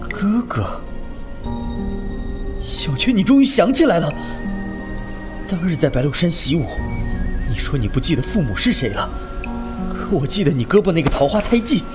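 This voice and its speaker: A man's voice: fairly high pitch, sing-song, normal speed, pauses often. A young man playing an upright, stern, protective martial brother in a wuxia audio drama, earnest and righteous. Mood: stirred. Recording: music under the voice.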